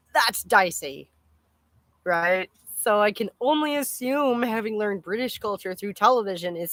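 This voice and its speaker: A woman chatting casually as host of a live stream, rambling in an animated, exclaiming way with casual swearing. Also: mid-range voice, no echo.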